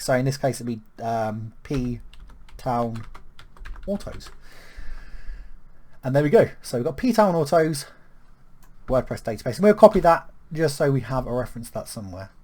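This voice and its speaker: UK man, tutorial walkthrough, loose and filler-heavy, middle-pitched voice, keyboard typing behind. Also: a little hiss or hum. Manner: relaxed, filler-heavy walkthrough with frequent ums. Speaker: web tutorial presenter. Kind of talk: screen-recorded software tutorial.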